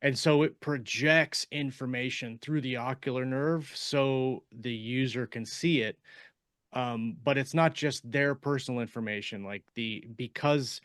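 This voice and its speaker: A man talking casually on a podcast, explaining in a halting way and often rephrasing as he goes. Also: mid-range voice, fairly bright tone, clean tone, no echo, clean studio sound. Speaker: podcast panelist and fiction writer.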